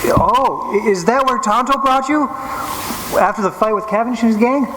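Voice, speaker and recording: A man's voice: very high voice, moderate pace. A male performer playing an earnest, wide-eyed boy in a live old time radio western. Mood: curious. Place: live theatre stage. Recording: echoey hall, noisy live stage recording.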